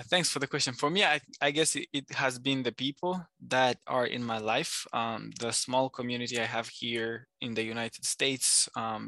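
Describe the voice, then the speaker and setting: Bright-toned man, thoughtful. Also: mid-range voice, dry recording. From Democratic Republic of Congo. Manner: thoughtful, pauses often with ums. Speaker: guest. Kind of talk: casual interview answers.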